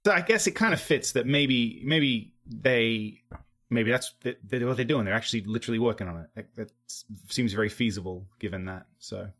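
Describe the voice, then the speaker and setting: A man's voice: mid-range voice, a little fast, fairly bright tone. A man hosting a casual podcast chat, rambling a bit and swearing loosely. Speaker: podcast host.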